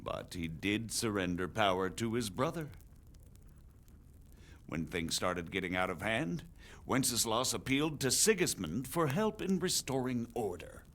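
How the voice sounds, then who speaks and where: A man playing a stately, shrewd middle-aged nobleman in a game drama, speaking formally with deliberate emphasis. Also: on the low side, sing-song, fairly bright tone. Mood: grave, deliberate. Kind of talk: dramatic game cutscene acting.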